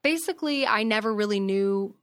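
A woman's voice: mid-range voice, lively intonation, brisk, smooth, no room sound, studio quality. A young woman talking casually as a podcast guest, chatty, with lots of fillers and laughs. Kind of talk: casual conversation.

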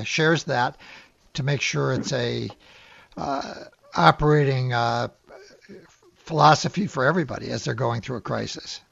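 Husky-voiced male radio host. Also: medium pitch, a bit bright, no echo, muffled recording. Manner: conversational, halting restarts. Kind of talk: interview talk show.

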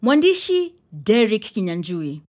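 A woman's voice: mid-range voice, big pitch swings, a little slow, a little mellow. A woman reading scripted credits as an announcer.

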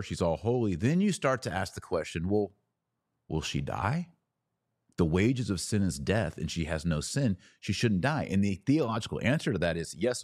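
A man hosting a podcast, giving an emphatic theological teaching talk with rhetorical questions and dramatic pauses. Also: lowish voice, darkish tone. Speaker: podcast host.

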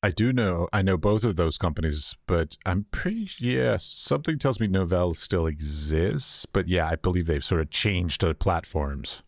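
A man with a very deep voice who hosts an interview. He asks short questions and adds brief, dry interjections. The sound is close and free of room echo. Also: lively intonation, normal speed, hardly any pauses. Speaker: podcast host. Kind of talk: conversational interview.

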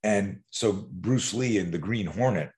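A man's voice: on the low side, a little slow, darkish tone. A man in his sixties from Canada, a podcast guest telling rambling stories with many restarts. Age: 60s. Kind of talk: casual podcast interview.

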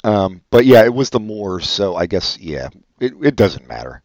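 A man's voice: lowish voice, very animated, normal speed, darkish tone, no room sound. A man co-hosting a podcast, chatting casually about a movie in a dry, offhand way that often trails off. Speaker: podcast co-host.

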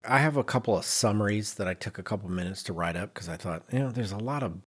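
A man's voice: on the low side, fast, slightly rough. A man hosting a podcast in a conversational, emphatic, self-deprecating way, moving between chat and read-out notes. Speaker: podcast host.

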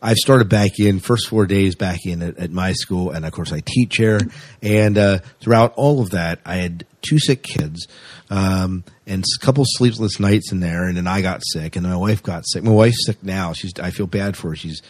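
A man's voice: low voice, normal speed, no room sound. A man co-hosting a podcast in a casual, chatty, jokey way with rambling asides. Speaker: podcast co-host.

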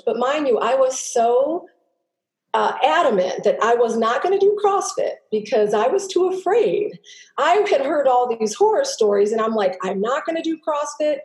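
A middle-aged woman, a native Greek speaker, telling her personal story as a podcast guest in an earnest, halting way with many restarts. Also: on the high side, a little mellow. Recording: roomy echo.

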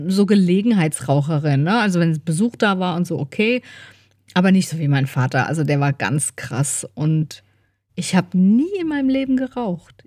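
A woman's voice: mid-range voice, darkish tone, no echo, studio quality. A middle-aged woman co-hosting a podcast in casual, colloquial conversation, often adding little tag questions. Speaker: podcast co-host. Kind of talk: casual chat.

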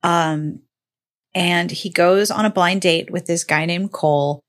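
A woman's voice: fairly low pitch, unhurried. A woman chatting casually and playfully about books as a podcast host, with self-deprecating humor. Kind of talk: casual, joking book chat.

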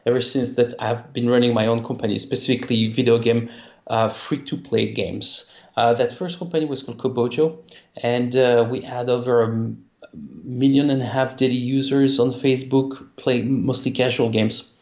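A man with a French accent, a game company founder talking in a casual interview, halting with many restarts. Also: mid-range voice, steady tone, darkish tone, roomy sound, highs cut off. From France. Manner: halting, many restarts and fillers, laughs easily. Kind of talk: casual interview over a call.

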